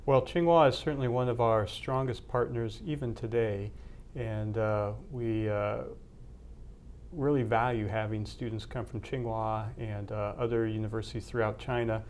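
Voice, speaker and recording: Man interviewing, halting with many fillers. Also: lowish voice, steady tone, darkish tone, a little hiss or hum. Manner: hesitant, many "uh"s, polite. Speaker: interviewer, university leader. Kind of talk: formal interview questions.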